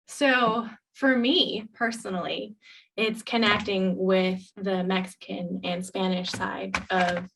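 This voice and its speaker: A woman co-hosting a podcast, talking candidly in a casual chat with many pauses and restarts. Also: mid-range voice, noisy background. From United States. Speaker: podcast co-host.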